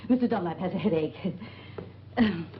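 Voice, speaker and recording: A woman's voice: lowish voice, moderate pace, close to an everyday voice. An actress playing a polite, managing boarding-house landlady in a 1940s film. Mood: polite, fussy. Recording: muffled, faintly noisy old film soundtrack.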